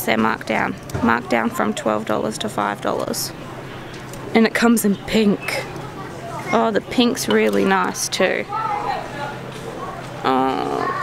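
A woman's voice: medium pitch, creaky, background noise. A young adult woman with an Australian accent, chatting casually and gushing as she vlogs her way around a store. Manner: gushing, enthusiastic. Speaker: vlogger. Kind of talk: casual shopping vlog chat. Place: inside a busy department store. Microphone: handheld camera at arm's length.

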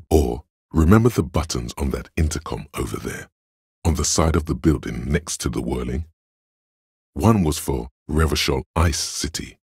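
A man voicing a detective's coolly analytical inner voice of logic in a video game, gravelly and husky, with measured, deliberate delivery. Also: extremely low pitch, no room sound, studio quality, full character voice. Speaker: game voice actor. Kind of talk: scripted video game narration.

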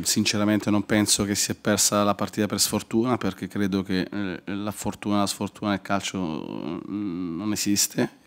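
A man in his forties, a football coach answering questions after a match at a press conference, speaking in a measured, hesitant way with many pauses. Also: lowish voice. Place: press room in front of a sponsor board. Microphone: desk microphone.

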